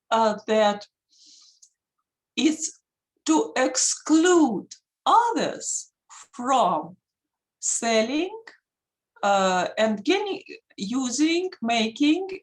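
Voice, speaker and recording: A woman with a Russian accent, a native Russian speaker, gives an earnest talk over a video call at an unhurried pace. Her fairly high voice swings widely as she presses her points. She fills her many pauses with "um" and "uh". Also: low-quality recording. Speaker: patent attorney, guest speaker. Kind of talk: online presentation.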